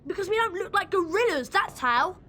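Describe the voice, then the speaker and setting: Young English schoolboy for a game: cheeky, very high-pitched, animated, over music. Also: female voice, fairly quick, a little hiss or hum, heavily acted. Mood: irritated. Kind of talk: video game voice acting.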